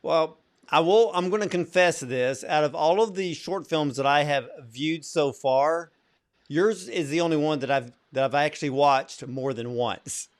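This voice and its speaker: A man's voice: a little high, a little slow. A man hosting an interview, asking long, earnest questions and offering sincere praise. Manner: earnest and complimentary, asks long wind-up questions. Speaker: interviewer, show host.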